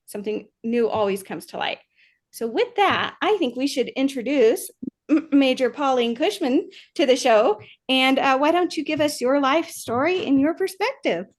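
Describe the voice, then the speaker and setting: A woman's voice: high voice, animated, normal speed. A woman co-hosting a podcast, explaining her thoughts in an earnest, reflective, casual way. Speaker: podcast co-host. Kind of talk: casual chat.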